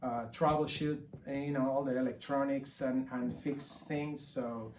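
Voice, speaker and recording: A man from Argentina giving casual, explanatory interview answers in a halting way. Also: medium pitch, steady tone, a little mellow, far from the mic. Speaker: interview guest, composer and sound engineer. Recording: roomy, off-mic, clear ambient noise.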